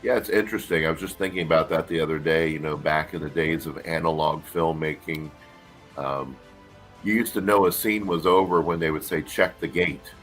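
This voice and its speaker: A middle-aged man, an actor guest with a deep voice, talking casually in an interview with lots of fillers and restarts. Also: brisk, faint music behind. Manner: relaxed, rambling, many fillers and restarts.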